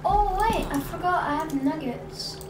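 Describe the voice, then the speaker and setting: Woman, gaming host, slow and chatty, distant mic, echoey room. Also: very high voice, music in the background. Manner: chatty, thinking aloud while playing. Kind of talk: Minecraft let's play commentary.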